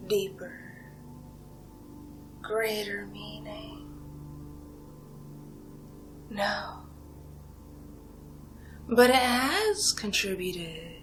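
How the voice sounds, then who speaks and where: Very slow, whispering seductive vampire woman, acted out. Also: medium pitch, rough recording. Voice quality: breathy, whispery. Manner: slow, hushed, drawn-out, savoring delivery. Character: seductive ancient female vampire villain, predatory and condescending. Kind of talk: whispered ASMR roleplay monologue.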